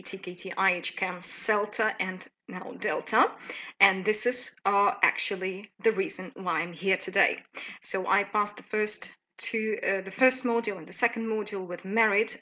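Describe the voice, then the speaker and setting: A woman in her thirties with a Russian accent, presenting a live teaching webinar in a chatty, lively way with many fillers. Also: mid-range voice.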